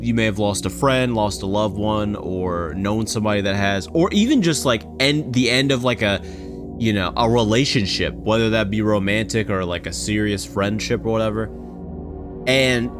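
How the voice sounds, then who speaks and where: A man giving casual reaction commentary, animated and thinking aloud in a rambling way, as a video creator. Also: lowish voice. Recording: loud music under the voice. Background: background music from games.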